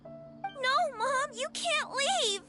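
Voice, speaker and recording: A woman voicing a wide-eyed, excitable little child with a small voice in an anime game. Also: extremely high pitch, very slow, full character voice. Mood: upset. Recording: music playing behind the voice.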